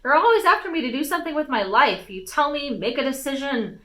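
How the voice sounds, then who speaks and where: A woman's voice: high voice, lively intonation, fast talker, fairly bright tone, pitched above the performer's own voice. A woman voicing a headstrong, argumentative teenage noble daughter in a storybook, insistent and protesting. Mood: frustrated.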